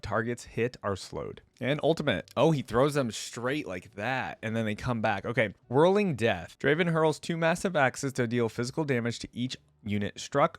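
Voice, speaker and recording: A man's voice: mid-range voice, no echo. A man hosting a reaction video, reading aloud and commenting in a casual, conversational way.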